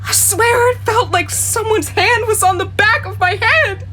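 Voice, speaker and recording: A woman's voice: extremely high pitch, unhurried, background noise. A young woman playing a jealous, suspicious girlfriend in a naturalistic radio play, blunt and confrontational. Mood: unnerved.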